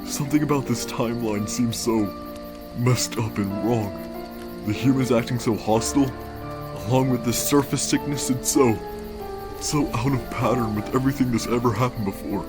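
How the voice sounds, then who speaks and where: Guy doing a tired skeleton big bro, casual n halting, tender under the jokes, music behind. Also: medium pitch, lightly acted. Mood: anguished, halting. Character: weary, wisecracking skeleton big brother, secretly anguished. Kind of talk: comic dub character acting. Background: background music.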